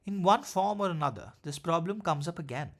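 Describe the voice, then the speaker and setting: A man with a slightly high voice narrates a literary essay. He reads in a measured, formal manner, and now and then trips over a word. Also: lively intonation, a bit bright. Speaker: essay reader.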